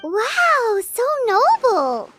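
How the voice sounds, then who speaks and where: A woman's voice: huge pitch swings, extremely slow, fairly bright tone, faint music behind, full character voice. A woman voicing a tiny, eager female sprite companion who talks about herself in the third person, in video game cutscene dialogue. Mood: impressed. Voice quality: high, squeaky childlike voice.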